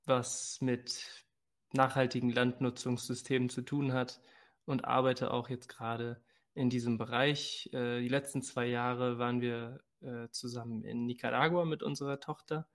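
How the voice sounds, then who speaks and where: A man speaks as a guest on a conversational podcast. His pitch is average and moves little, giving an even, level delivery. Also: a little slow. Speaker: podcast guest, agroecology worker.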